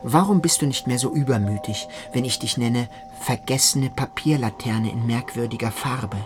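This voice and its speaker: A man narrates a literary radio play in the first person. His voice sits at a middle pitch, and music plays clearly behind it throughout. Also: moderate pace.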